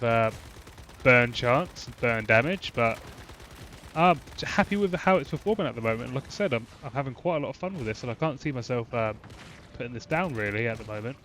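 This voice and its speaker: A man's voice: mid-range voice, a bit bright, slight background noise. A man with a congested, blocked-up voice walks through a game build, rambling with plenty of ums and restarts. Faint game gunfire and explosions sit behind him. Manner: rambling, frequent fillers and restarts. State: congested from a cold. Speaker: gaming channel host. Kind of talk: casual game build commentary.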